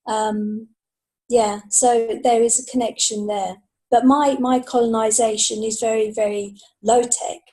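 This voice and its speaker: Slow-spoken British woman, soft mellow voice. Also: fairly high pitch, steady tone. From Britain. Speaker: podcast guest, science fiction author. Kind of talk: casual interview conversation.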